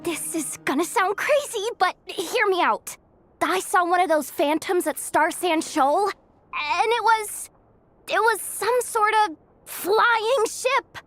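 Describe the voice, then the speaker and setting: Very high, flustered young assistant. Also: female voice, lively intonation, slow, a bit bright, soft background music, full character voice. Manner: flustered, repetitive, exclamatory. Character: frantic young female assistant, jumpy and dramatic.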